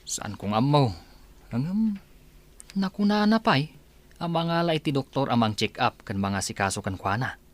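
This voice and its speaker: A man's voice: medium pitch, animated, moderate pace, pauses often, close to an everyday voice. A man playing a gossipy, bantering driver for a rich household in a conversational audio drama. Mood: musing.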